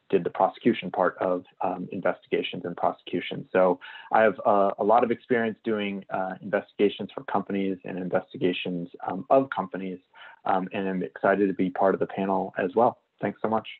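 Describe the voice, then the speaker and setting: A man introducing himself as a panelist on a webinar, hesitant and clearing his throat. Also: low voice. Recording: tinny phone-like audio.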